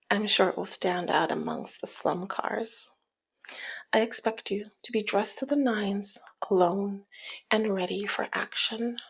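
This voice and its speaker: A woman playing a flirty, teasing, dominant female mob boss in an intimate ASMR roleplay, with a husky, breathy, half-whispered voice and a sultry, taunting manner. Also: medium pitch. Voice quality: breathy, husky, often slipping into near-whisper. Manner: slow, sultry, playful taunting. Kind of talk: ASMR roleplay, intimate one-sided dialogue.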